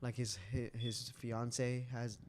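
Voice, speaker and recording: A man's voice: mid-range voice, little pitch movement, takes it slowly, darkish tone, slight background noise. A man in his late twenties chatting casually and candidly on a podcast, rambling, repeating himself and swearing loosely. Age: late 20s. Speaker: podcast co-host.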